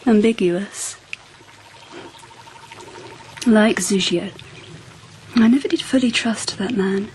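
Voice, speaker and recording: A woman plays a regal empress of old Japan in a radio drama. She speaks in a measured, musing way at a middling female pitch. There is clear ambient noise behind her. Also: a little mellow, lightly acted. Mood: wistful, musing. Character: regal, reflective empress of 10th century Japan.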